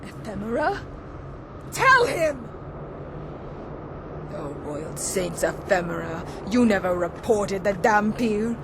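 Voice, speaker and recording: A woman's voice: medium pitch, big pitch swings, takes it slowly, pauses often, very noisy. A woman playing a haughty, hot-tempered vampire lieutenant in a video game, with a dramatic, venomous delivery. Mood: furious, accusing. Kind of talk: video game cutscene acting.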